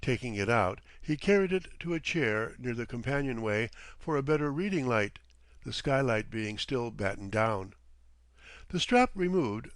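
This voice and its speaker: A male narrator reads literary fiction with deliberate, dramatic phrasing. His intonation moves widely and expressively. The sound is dry and close, with no room around it. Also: medium pitch, dry recording. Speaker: audiobook narrator.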